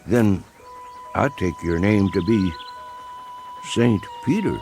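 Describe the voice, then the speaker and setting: An actor playing a stubborn, plain-spoken country hunter in a vintage TV drama, with a rural Southern American accent and homespun manner. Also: male voice, low voice, animated, takes it slowly, a little mellow, soft background music, full character voice. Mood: curious. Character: stubborn, plain-spoken backwoods male coon hunter, loyal to dog. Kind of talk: vintage television drama acting.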